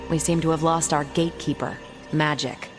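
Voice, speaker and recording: Casting: actress as confident game superhero captain, lowish female voice, wry and informal, music behind. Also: fairly even intonation, moderate pace, lightly acted. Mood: wry, brisk. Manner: breezy, wry, colloquial. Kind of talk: video game cutscene acting.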